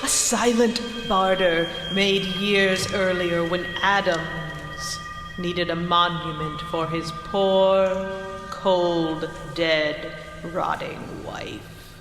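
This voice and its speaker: Haunting, bitter ghost-tale figure, slow dramatic delivery, cavernous reverb, theatrical. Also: male voice, sits high, steady tone, full character voice. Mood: bitter, scornful. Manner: deliberate, dramatic. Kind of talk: theatrical gothic stage drama. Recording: long hall reverb with loud music.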